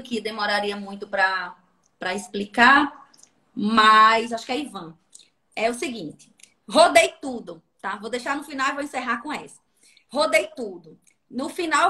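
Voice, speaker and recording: A woman with a Sergipe accent teaches in an animated, instructive way, often pausing to check that her listeners are following. Her voice is bright and sits fairly high. She speaks as a guest labor inspector on a livestream. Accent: Sergipe, northeastern Brazilian. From Sergipe, Brazil.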